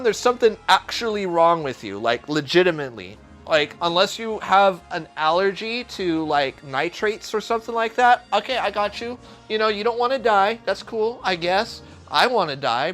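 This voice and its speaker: A man's voice: fairly high pitch, a bit bright. A man who is a chef, cooking on a live stream and chatting with viewers in a crude, joking, profane way. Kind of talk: casual livestream cooking chat.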